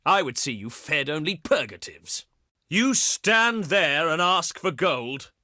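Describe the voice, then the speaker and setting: An actor playing a haughty, scornful ancient Greek diplomat in a video game, with a pompous, sarcastic, declamatory delivery. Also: male voice, on the high side, very animated, slow pace, bright, forceful tone, no room sound, clean studio sound, full character voice. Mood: indignant defiance.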